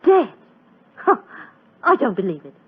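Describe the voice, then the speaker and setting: A defiant, frightened young stage assistant in an old radio play. Her voice is highish and husky, swinging with emotion, curt and defensive. It comes through a thin, narrow vintage broadcast recording. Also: big pitch swings, a little slow, full character voice. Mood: defiant, wary. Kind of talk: 1940s radio drama acting.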